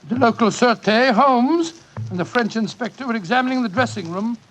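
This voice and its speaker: An actor playing a bumbling, gentlemanly English doctor with a British accent, stammering and blustering in 1940s radio style. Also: male voice, very high voice, animated, brisk, a little hiss or hum. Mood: grave narration. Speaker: actor playing the detective's companion and narrator. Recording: muffled 1940s radio broadcast.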